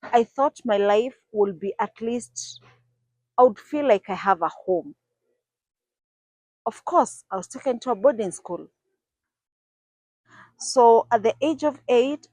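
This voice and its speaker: A woman's voice: fairly high pitch, a little slow, pauses often, a little mellow, dry recording. Kenyan woman, 40s, reflective vlogger recounting her childhood, dry and close. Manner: earnest, reflective, with occasional restarts. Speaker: YouTuber telling her life story. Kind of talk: personal storytelling to camera.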